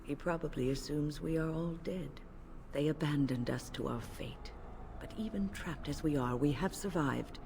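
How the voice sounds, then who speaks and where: An actress playing a grave, protective older female mage, speaking in a formal, measured way in a video game. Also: low-pitched, vocal fry, warm tone, a little hiss or hum.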